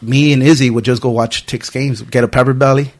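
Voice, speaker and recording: Animated, swearing podcast host in his 30s. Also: mid-range voice, a little fast. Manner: animated storytelling, acting out quoted friends; casual, heavy swearing. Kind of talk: casual podcast chat.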